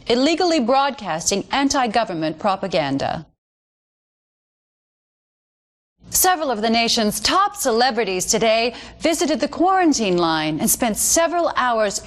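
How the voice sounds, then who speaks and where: A female American news anchor from a video game. Her voice sits fairly high, and she delivers scripted bulletins with measured, formal authority. She comes across as an earnest, polished mouthpiece for the government. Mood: grave, formal. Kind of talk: scripted news broadcast narration.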